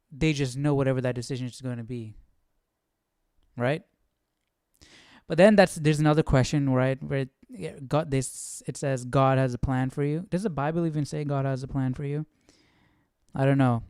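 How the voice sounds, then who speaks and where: A man hosts a study stream in a casual, questioning way. His voice is clean and smooth at an ordinary male pitch. The sound is dry, with no room echo, as he thinks aloud. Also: a little mellow. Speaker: livestream Bible study host. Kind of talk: casual, musing chat.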